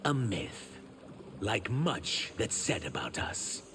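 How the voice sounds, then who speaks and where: An actor playing a proud, guarded reptilian shapeshifter warrior in human form for a fighting game. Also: male voice, lowish voice, wildly swooping pitch, takes it slowly, noisy background, exaggerated voice. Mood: defiant. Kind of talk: video game fight-intro dialogue.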